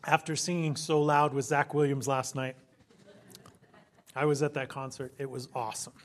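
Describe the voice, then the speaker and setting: A hoarse man preaching a sermon as a pastor, earnest and preacherly, with frequent ums. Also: flat delivery, normal speed. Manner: prays in a soft, low voice.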